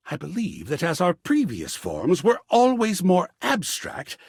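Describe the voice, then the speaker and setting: An actor playing a flamboyant, seductive living work of art in a video game, theatrical and affectionate, with breathy husky endearments. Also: male voice, high-pitched, fairly bright tone, no room sound, clean studio sound, exaggerated voice. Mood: pleased, musing. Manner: theatrical, drawn-out purring endearments with sudden exclamations. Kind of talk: theatrical game character acting.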